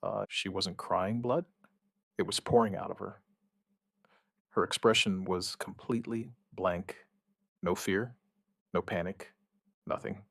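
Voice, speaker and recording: An actor playing a shaken outbreak survivor, giving his account in halting, somber, dramatic narration with short deliberate phrases. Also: low voice, steady tone, creaky, fairly bright tone, no echo, studio quality, full character voice. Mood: grim, haunted. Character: shaken male outbreak survivor recounting events, dryly stubborn. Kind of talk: dramatic first-person scripted narration, witness account.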